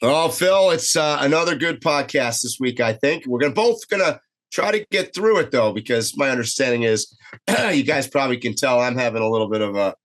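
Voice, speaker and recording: A man's voice: medium pitch, brisk. A man co-hosting a casual podcast while getting over a cold, opinionated and full of fillers. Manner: animated, opinionated, many fillers. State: has a cold. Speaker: podcast co-host. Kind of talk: casual podcast chat over video call.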